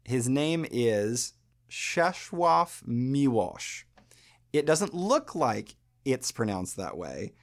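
An American man hosting a podcast, talking through a subject in a thoughtful, measured way with pauses. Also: mid-range voice, big pitch swings. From United States. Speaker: podcast host. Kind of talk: explanatory podcast talk.

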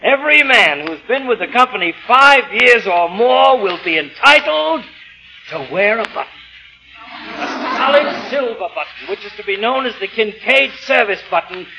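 Pompous, high-voiced company president. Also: male voice, vocal fry. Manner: pompous, oratorical. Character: pompous, self-satisfied company president at a banquet. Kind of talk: 1940s radio acting, formal speech. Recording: noisy 1940 radio broadcast.

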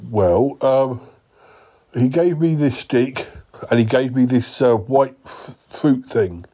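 A man voicing a clueless male yeti uncle who talks slowly and hesitantly. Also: dark tone. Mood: bemused. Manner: slow, hesitant, wandering speech with a higher voice.

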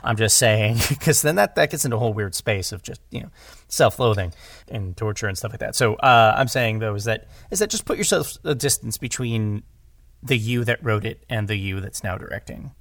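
A man chatting casually about creative work, with animated, self-deprecating asides. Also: lowish voice, a little fast, no echo, studio quality. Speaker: podcast guest, audio drama writer and director. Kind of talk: casual conversational discussion.

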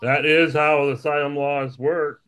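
A man's voice: a little high, flat delivery, unhurried, a bit bright, clean tone. A man co-hosting a casual political chat show, speaking matter-of-factly in firm statements. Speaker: podcast co-host.

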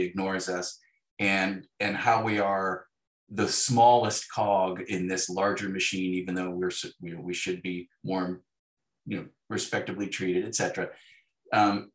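A middle-aged Canadian man talking reflectively and casually as an interview guest, halting and searching for his words. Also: on the low side, fairly bright tone. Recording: roomy, echoey voice pickup.

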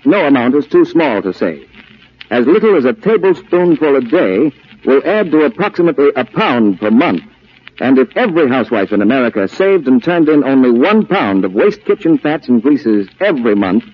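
Male 1940s radio announcer, deliberate and earnest scripted appeal, muffled old recording. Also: medium pitch, sing-song, breathy edge.

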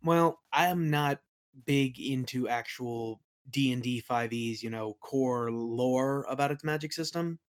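A man's voice: mid-range voice, unhurried. A man speaking as an interview guest, explaining things in a casual, reflective way. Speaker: interview guest, game world builder.

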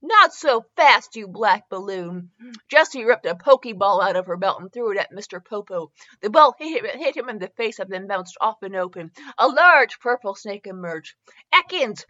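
A woman's voice: medium pitch, expressive, fairly quick, hardly any pauses, bright, forceful tone. A woman giving a comedic dramatic reading of fan fiction as a podcast host, giggly and tripping over words. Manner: giggly, stumbles over words and breaks off to comment. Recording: slightly dull, lo-fi recording.

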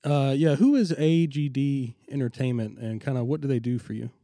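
A man hosting a music podcast, sounding stuffy and congested as he talks in a relaxed way full of ums and uhs. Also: mid-range voice, normal speed, dry recording, clean studio sound. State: recovering from illness, stuffy nose. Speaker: podcast host. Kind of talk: casual podcast intro and interview.

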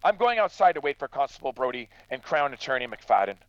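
A man's voice: medium pitch, very animated, fast, dull audio, slight background noise, lightly acted. A man acting in a radio drama as an angry, grieving son, indignant and accusing. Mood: bitter, accusing. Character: bitter, angry grieving son of a murdered farmer.

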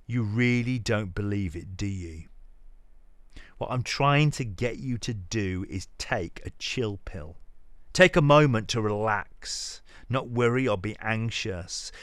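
A man giving a dramatic reading of a sermon, theatrical and exhorting, addressing the listener directly with deliberate pauses. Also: lowish voice, a bit bright, no room sound, clean studio sound. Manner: direct rhetorical questioning of the listener. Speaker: podcast host reading scripture.

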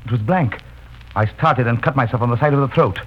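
An actor playing a frightened young English gentleman, earnest and dramatic, in old radio drama style. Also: mid-range voice, brisk, heavy background noise, lightly acted. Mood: grave dread. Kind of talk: 1930s radio drama acting. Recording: muffled vintage radio recording. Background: music.

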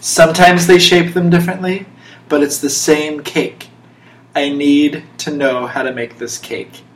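A man's voice: on the high side, steady tone, unhurried. A young man hosting a casual podcast, talking in a mock-serious, emphatic way. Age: young adult. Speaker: podcast host. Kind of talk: casual podcast chat with playful monologues. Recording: roomy echo, faint background noise.

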